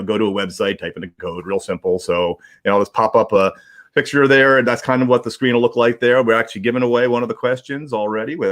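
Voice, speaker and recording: A man hosting a livestream in casual, rambling talk, often repeating words as he goes. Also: medium pitch. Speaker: host of a livestream. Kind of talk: casual chat.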